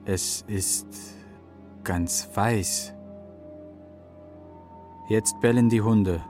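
A mystical eagle in a children's radio drama, solemn and detached. The voice is deep and unhurried, reporting in sparse, measured statements over prominent music. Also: male voice, steady tone. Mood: solemn, watchful. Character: male eagle spirit, solemn, trance-like seer.

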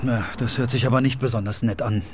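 A man's voice: mid-range voice, steady tone, fast, a little hiss or hum, natural voice. An actor playing a patient policeman questioning a child in a naturalistic radio play, with a probing, slightly hesitant manner. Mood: curious, probing.

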